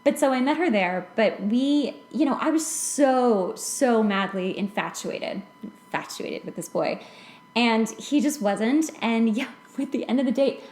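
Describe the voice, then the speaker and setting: A woman hosting a casual, chatty podcast, telling stories in an animated way with giggly asides. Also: fairly high pitch, a little slow. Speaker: podcast host. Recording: roomy, echoing podcast recording.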